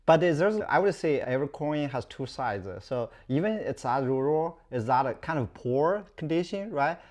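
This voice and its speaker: A man's voice: fairly high pitch, expressive, moderate pace, darkish tone. A man in his forties from China with a Chinese accent, a non-native English speaker chatting casually as an interview guest and often repeating his phrases. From rural southwest China. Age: 40s. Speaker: guest, startup founder.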